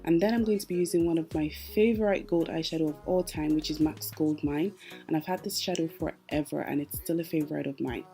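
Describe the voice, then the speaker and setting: Low, mellow-voiced woman narrating makeup. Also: brisk, soft background music. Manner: chatty, explains each step. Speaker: makeup artist. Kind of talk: voice-over makeup tutorial.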